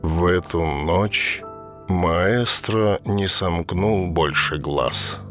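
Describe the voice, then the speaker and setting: An actor as the solemn storyteller narrating an audio drama. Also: male voice, low voice, drawn-out words, music in the background. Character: solemn storyteller setting the scene.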